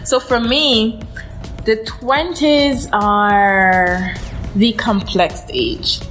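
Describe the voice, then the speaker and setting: A woman's voice: medium pitch, very slow, fairly bright tone, faint music behind, poor audio. Giggly woman in her twenties, rambling playfully. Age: 20s. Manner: laughs often, playful and rambling. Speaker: podcast co-host. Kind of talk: casual chat.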